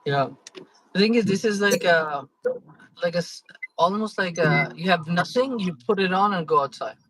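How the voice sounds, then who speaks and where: A man co-hosting a casual live-stream chat, relaxed and joking, often trailing off mid-sentence. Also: high-pitched, normal speed, low-quality recording.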